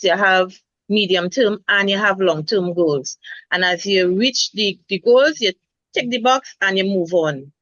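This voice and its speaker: A woman's voice: medium pitch, fairly bright tone, no echo, muffled recording. A woman nurse speaking earnestly in a casual health talk, restarting words as she thinks. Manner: earnest, repeats herself while finding words. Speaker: nurse, guest.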